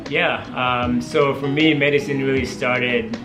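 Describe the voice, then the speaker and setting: A man's voice: mid-range voice, steady tone, a little slow, echoey room, over music. A young man from Tanzania with a Tanzanian accent and a Swahili mother tongue, a medical student chatting eagerly with many fillers as an interview guest. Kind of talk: casual interview.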